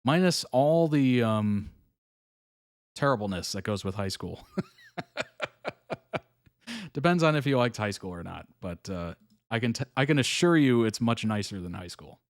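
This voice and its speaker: A man's voice: on the low side, animated, measured pace, pauses often, dry recording, clean studio sound. Chatty laid-back male streamer. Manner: chatty, laughs often, filler-heavy. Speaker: reaction streamer host. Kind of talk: casual live-stream chat.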